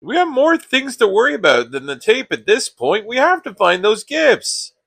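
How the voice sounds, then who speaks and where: A man's voice: high voice, big pitch swings, moderate pace, creaky, a bit bright, breathy edge, no echo, studio quality, exaggerated voice. An actor playing a sensible, exasperated young man who complains at his friend in a comedy radio play. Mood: alarmed, angry. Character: exasperated, sensible young male best friend named Stu.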